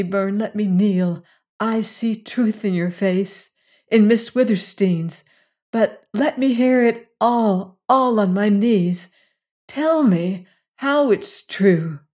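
Woman playing a halting, wistful young orphan girl. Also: medium pitch, a little mellow, lightly acted. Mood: tearful, disbelieving. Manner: whispery, halting, tearful.

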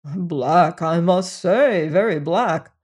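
A reader voicing a pompous, self-righteous older clergyman who lectures in a loud, preachy way. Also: mid-range voice, slow, breathy edge. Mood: sanctimonious. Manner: sanctimonious, lecturing, rising to indignant.

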